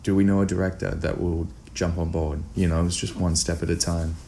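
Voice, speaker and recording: A man's voice: low voice, flat delivery, a little fast, creaky, dark tone. A young man chatting casually as a filmmaker guest, often acting out his own thoughts as quotes. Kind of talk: casual interview chat. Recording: noticeable background noise, room ambience.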